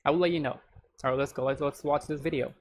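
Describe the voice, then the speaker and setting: A man's voice: on the high side, fairly even intonation, quick pace, slight background noise. Young Indian man chatting. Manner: rambling, repeats "so the thing is". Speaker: YouTuber reacting to a video. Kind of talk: casual reaction commentary.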